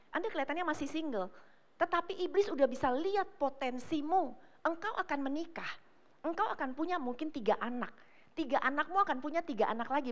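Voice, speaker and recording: Woman pastor, high-pitched, fervent sermon delivery, addresses the congregation directly throughout. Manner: emphatic preaching, often addressing listeners as brothers and sisters; swings between loud declarations and low, soft asides. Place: church service.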